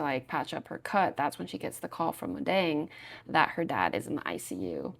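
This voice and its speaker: A woman's voice: on the low side, vocal fry. A woman recapping a book and its drama adaptation in a chatty, casual way, often saying "like" and acting out short quoted lines. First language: not Thai. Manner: chatty, peppered with "like", acts out quick quoted lines.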